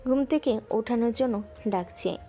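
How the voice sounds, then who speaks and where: A woman narrating a romantic audio story in a smooth, even storytelling voice. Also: fairly high pitch, normal speed. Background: soft background music.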